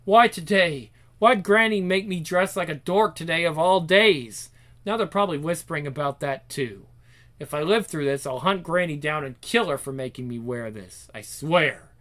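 Performer playing a dramatic, self-pitying teenage girl, bright voice. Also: medium pitch, moderate pace, natural voice. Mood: anxious, mortified. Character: troubled, sarcastic, self-conscious young teenage girl narrator.

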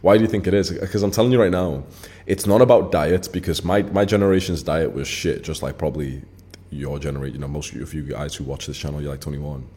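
Low, mellow-voiced man in his twenties. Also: quick pace. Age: 20s. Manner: blunt, emphatic, swears freely, heavy filler words. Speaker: channel host. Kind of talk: casual straight-to-camera monologue.

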